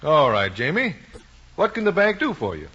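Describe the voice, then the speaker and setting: A man's voice: fairly high pitch, huge pitch swings, normal speed, fairly bright tone, husky, exaggerated voice. A man playing a genial, fatherly small-town bank president, with an American accent and a folksy, avuncular manner. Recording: dull, lo-fi vintage recording.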